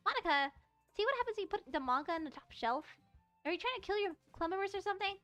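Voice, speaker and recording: A woman's voice: extremely high pitch, lively intonation, fast talker, fairly bright tone, no echo, soft background music, voice pushed up. A woman voicing a small, hot-tempered, defensive anime schoolgirl for a visual novel, pouty and stammering. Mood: annoyed.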